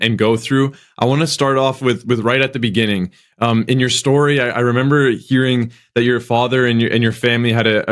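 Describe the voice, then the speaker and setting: A man hosting a podcast, asking long, loosely framed questions and repeating words as he goes. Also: medium pitch. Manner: rambling, repeats words while framing questions. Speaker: podcast host. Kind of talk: casual interview hosting.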